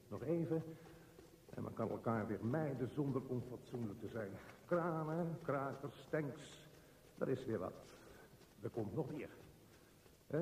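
An actor playing a brooding, observant train traveller, musing in halting fragments in a radio drama. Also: male voice, mid-range voice, mellow, lightly acted. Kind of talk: radio drama interior monologue. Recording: noisy radio play, echoey, reverberant.

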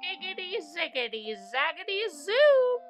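A woman voicing a friendly, excitable witch in a storybook tale. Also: extremely high pitch, a little slow, soft background music, pitched above the performer's own voice, full character voice. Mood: gleeful.

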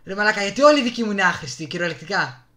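A man's voice: sits high, expressive, sharp tone. A man with a Greek accent giving casual, exasperated gameplay commentary, with some swearing, into a desktop microphone. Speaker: gaming video host. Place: indoors at a computer.

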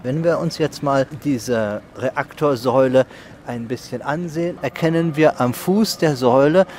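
A man explaining things as an archivist in an interview, in a deliberate manner. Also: medium pitch, a little mellow. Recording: echoey location recording, faint background noise.

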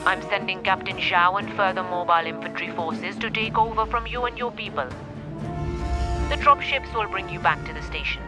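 A woman's voice: mid-range voice, moderate pace, over music, natural voice. A woman playing a cool, formal and authoritative corporate director in a video game. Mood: stern. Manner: formal, curt, commanding. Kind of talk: video game character acting.

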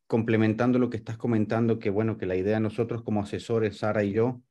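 A man's voice: fairly low pitch, mellow. A middle-aged man from Venezuela and Uruguay, a real estate advisor giving closing remarks as host on a webinar video call. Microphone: video call microphone.

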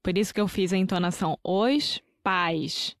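A woman's voice: lowish voice, normal speed, no echo, studio quality. Brazilian woman co-hosting a language podcast. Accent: Brazilian Portuguese. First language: Portuguese. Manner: laughs often, clear careful pronunciation. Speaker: podcast co-host teaching Portuguese. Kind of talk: casual language-teaching chat.

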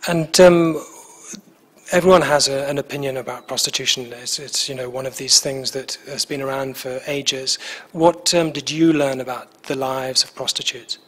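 A man's voice: medium pitch, steady tone, moderate pace, a bit bright. A middle-aged man interviewing a guest at a festival, asking polite, somewhat hesitant questions into a handheld mic in a small indoor room.

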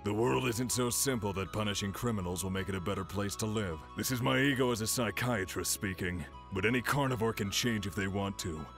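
A man voicing a gruff middle-aged panda doctor, stern, earnest and deadpan, in a manga dub. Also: fairly low pitch, fairly quick, hardly any pauses, over music, close to an everyday voice. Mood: solemn.

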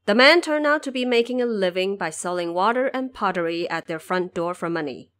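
A woman's voice: mid-range voice, animated, a bit bright. Woman narrating a classic tale. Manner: measured storytelling. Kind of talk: scripted audio drama narration.

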